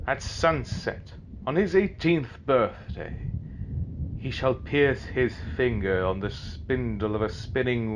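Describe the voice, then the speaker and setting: A grandiose dark sorcerer in a fantasy audio drama, taking his time over every threat. His mocking voice swings dramatically up and down, slightly muffled, with music underneath. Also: mid-range voice, slight background noise. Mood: booming curse. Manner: theatrical, drawn-out, sneering delivery. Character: menacing, mocking, grandiose male evil sorcerer. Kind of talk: fan audio drama villain acting. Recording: dull, muffled recording with music behind.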